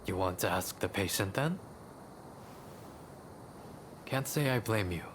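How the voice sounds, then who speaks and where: A man's voice: lowish voice, lively intonation, slow pace, noisy background. A man voicing a composed, candid medicine maker in a conversational video game cutscene. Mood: measured.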